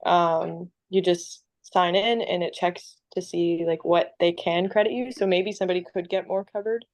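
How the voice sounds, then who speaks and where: A woman guest answering questions in a casual chat, relaxed and using fillers. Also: on the low side, moderate pace, dry recording.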